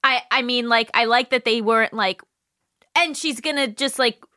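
A woman co-hosting a podcast in casual chat, halting with many fillers and enthusiastic. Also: on the high side, bright, forceful tone, smooth, dry recording, clean studio sound. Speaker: podcast co-host.

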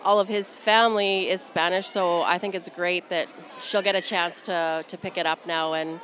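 A woman's voice: lowish voice, fairly even intonation, normal speed, fairly bright tone. A woman, a parent, giving plain and affirmative answers in a casual interview. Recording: field recording, faint ambient noise.

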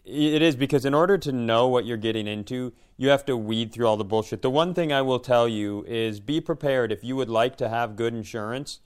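Smooth, clear-voiced American man chatting casually. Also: mid-range voice, brisk, hardly any pauses. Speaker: podcast co-host. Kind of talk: casual comedic chat.

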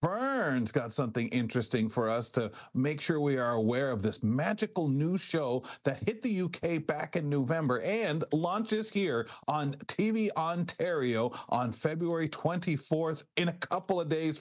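A man's voice: a little high, expressive, a little slow, hardly any pauses. A man, chatting casually as co-host of a broadcast magazine show.

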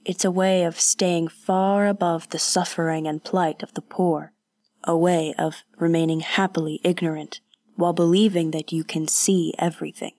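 A woman voicing an awkward, earnest young male warrior who speaks haltingly and often drops to a whisper. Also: on the low side, fairly even intonation, darkish tone, no echo, natural voice.

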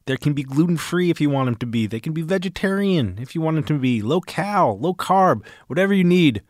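A man's voice: on the high side, lively intonation, fairly quick, dry recording, clean studio sound. A man hosting a podcast, reading a sponsor message in an upbeat, salesy way. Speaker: podcast host. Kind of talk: read ad spot.

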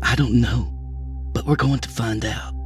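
A man's voice: mid-range voice, steady tone, a little slow, faint music behind, pitched above the performer's own voice, close to an everyday voice. A man voicing a young woman witch, speaking plainly and directly. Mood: quiet, inner.

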